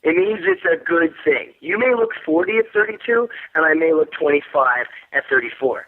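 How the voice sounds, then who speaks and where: A man's voice: fairly high pitch, normal speed. Profane man ranting over a phone line. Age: 30s. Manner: profane, boastful, animated storytelling with quoted voices. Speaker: interview guest, actor. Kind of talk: casual phone interview.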